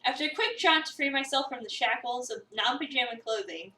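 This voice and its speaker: Animated, high-voiced woman reading aloud. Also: brisk, recorded from a distance. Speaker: streamer reading a visual novel aloud. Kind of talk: dramatic reading of a game script with casual chat asides. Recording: roomy echo, away from the mic.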